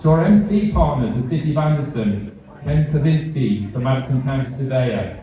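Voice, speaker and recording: British guy giving a charity speech, kinda mellow, repeats himself, sounds far off in a big hall. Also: mid-range voice, expressive. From Britain. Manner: halting, repeats words, self-deprecating. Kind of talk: fundraiser speech with light humour. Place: large event hall. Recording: echoey hall, mic heard from a distance.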